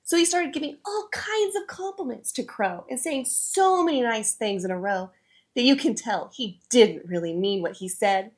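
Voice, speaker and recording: A woman with a fairly high voice presents a children's read-aloud show. She speaks to her young audience in a scripted, direct style. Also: lively intonation, moderate pace.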